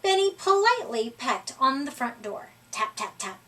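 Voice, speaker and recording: A woman reading a children's story aloud in an expressive, deliberate way, recorded at a distance from the microphone. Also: sits high, slight background noise. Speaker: storybook reader.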